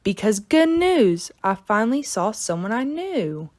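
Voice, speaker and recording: A woman narrating a children's book aloud in an expressive, dramatic storytelling style. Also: a little high, unhurried.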